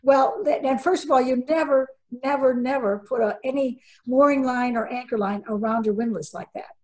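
An older woman with a somewhat high voice walks an online audience through sailing gear over a video call. She is emphatic, quizzes the listeners and repeats her key points for stress. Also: normal speed, low-quality recording. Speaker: sailing instructor presenting a webinar. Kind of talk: webinar presentation with slides.

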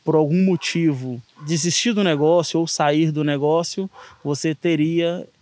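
A man's voice: on the high side, fairly even intonation, measured pace, a little mellow. Brazilian man recalling, outdoors. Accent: Brazilian Portuguese. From Brazil, Minas Gerais. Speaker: interviewee, investor. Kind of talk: casual interview recollection. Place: outdoors in a garden.

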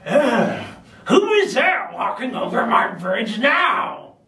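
A grumpy, hungry troll, as a man puts him on for a puppet show, high and swooping in a bright, sharp tone. Slow, drawn-out threats. Heard in an echoey room from a distance. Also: sing-song, soft background music, heavily acted. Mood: gruff. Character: grumpy hungry male troll under a bridge. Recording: echoey room, mic far off.

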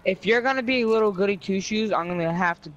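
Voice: medium pitch, fast talker, dull audio. A player casually roleplaying a bossy crew leader in an online crime game. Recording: faintly noisy game audio with vehicles. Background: faint game vehicle noise.

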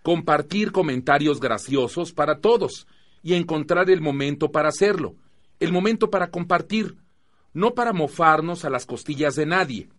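A Mexican man playing an earnest, firm youth-group facilitator in a radio drama, speaking in a measured, didactic way. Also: fairly high pitch, expressive, fairly bright tone. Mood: encouraging, patient. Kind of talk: Spanish-language radio drama acting.

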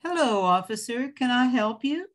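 A woman's voice: fairly high pitch, animated, normal speed, natural voice. An older woman playing a homely, hospitable widowed grandmother in an amateur radio play. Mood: polite, caring.

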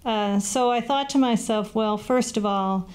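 A woman in her sixties, an incumbent councilor, giving an earnest, measured closing statement at a candidate forum, seated at a table microphone in a small meeting room. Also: on the high side, darkish tone, poor audio. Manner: earnest, measured, with a brief laugh at running out of time. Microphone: table microphone on a stand.

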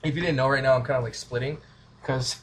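A man's voice: medium pitch, steady tone, fast talker, a bit bright, a little hiss or hum. A young man casually showing how he cooks in a small home kitchen, goofy and rambling as he talks to the camera from a few feet away. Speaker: home cooking vlogger. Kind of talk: casual cooking demo, joking asides. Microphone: camera mic from a few feet away.

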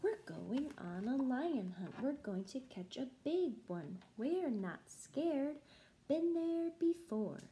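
A young woman, a music teacher, reading a children's picture book aloud in a rhythmic, sing-song, deliberate chant. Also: high voice, very animated, dark tone, slight background noise. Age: young adult. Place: small room at home, indoors.

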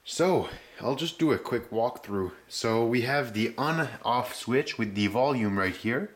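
A man giving a casual hands-on walkthrough, speaking haltingly as he searches for words, recorded indoors on a handheld camera. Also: medium pitch, expressive. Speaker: hobbyist restorer presenting a rebuilt radio. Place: indoors, room with concrete floor. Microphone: handheld camera's microphone.

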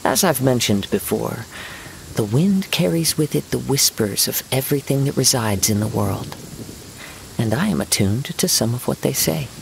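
A man voicing a gentle, serene young wandering poet swordsman in a game, with measured, formal, poetic phrasing. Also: medium pitch, creaky, rough recording. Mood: composed, assured. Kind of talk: scripted game dialogue, storytelling. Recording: steady rain noise behind voice.

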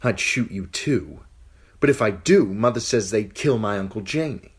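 A spoiled young king sneers and boasts in a raised, needling voice, put on by a male reader. The tone is lively and a little husky, swinging up and down as he gloats. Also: fairly low pitch, normal speed, voice pushed up. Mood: imperious. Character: cruel petulant boy king.

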